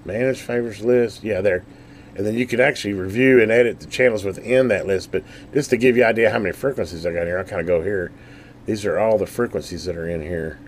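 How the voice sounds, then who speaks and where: A man's voice: fairly low pitch, fast talker, slight background noise. A man giving a casual, unscripted product demonstration in a rambling, folksy way, thinking aloud as he goes. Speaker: scanner hobbyist giving a product overview.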